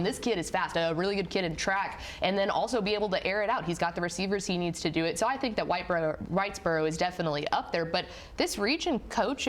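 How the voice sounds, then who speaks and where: A woman working as a studio analyst, giving live high school football commentary and highlights in an excitable, emphatic way.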